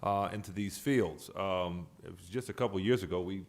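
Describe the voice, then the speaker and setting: A man with a fairly low voice chairs a public board meeting. He moves through remarks and procedure in a halting manner, often restarting a phrase, keeping it official but informal.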